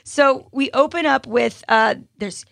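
A woman's voice: high voice, unhurried, creaky. A woman in her thirties hosting a podcast, chatting casually and laughing with slangy asides. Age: 30s. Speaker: podcast host. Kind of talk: casual chat.

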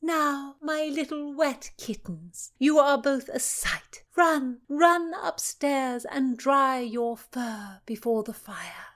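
A woman voicing Philomena, a sharp-witted, scheming Tudor lady who speaks with brisk confidence. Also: high voice, animated, voice pushed up, heavily acted. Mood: fond.

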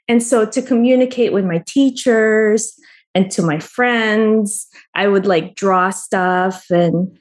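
A woman from the Philippines, a guest artist answering interview questions in a friendly way, often laughing softly mid-sentence. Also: mid-range voice, slow pace. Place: Albany region, New York.

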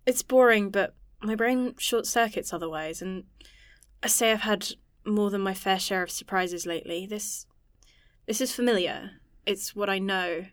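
A woman's voice: mid-range voice, moderate pace, no echo, clean studio sound, close to an everyday voice. A woman acting in an audio drama as an earnest, anxious scientist who rambles self-consciously. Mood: confiding.

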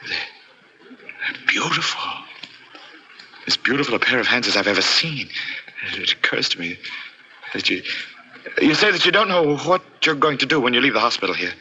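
An actor playing an obsessed, half-mad pianist in a 1940s radio thriller, speaking and narrating in an intense, halting, brooding way. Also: male voice, mid-range voice, very animated, sharp tone, low-quality recording. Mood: eager, guarded. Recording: hissy 1940s radio recording.

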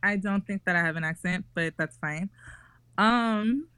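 A woman's voice: mid-range voice, moderate pace, sharp tone, smooth, dry recording. A young woman talking casually in a group podcast, chatty and full of fillers and restarts.

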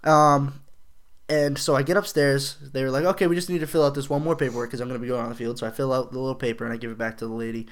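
A man's voice: medium pitch, level tone, rapid-fire. A young man telling a long story on a podcast, rambling with many fillers, swearing and laughing often. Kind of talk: casual chat.

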